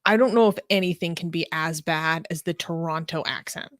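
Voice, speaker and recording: Low-voiced woman co-hosting, dry sound. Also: a little fast, dry recording. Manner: playful, blunt, laughs easily. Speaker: co-host of a news talk show. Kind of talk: casual live-stream chat, reading comments.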